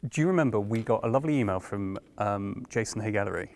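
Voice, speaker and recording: A British man, a producer and director, telling anecdotes in a measured, casual way. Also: on the low side, lively intonation, a little mellow. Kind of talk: casual conversation.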